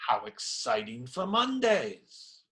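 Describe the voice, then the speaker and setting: Man playing high, animated, cynical priest. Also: slow pace, exaggerated voice. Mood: sarcastic. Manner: hesitant, dry, sardonic. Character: Friar Tuck, cynical, blunt, irreverent male priest. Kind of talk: radio play acting.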